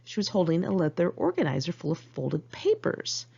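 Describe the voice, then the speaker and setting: An American woman reads her own memoir as an informal audiobook, with a candid, wry, expressive manner. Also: on the low side, moderate pace, gravelly, husky, dull audio. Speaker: author reading own memoir.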